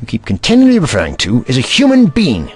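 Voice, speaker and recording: A man playing an eccentric, brainy time traveller in an audio drama, kindly but testy, musing aloud in a wordy, gently pedantic manner. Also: medium pitch, wildly swooping pitch, fairly quick. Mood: puzzled, determined.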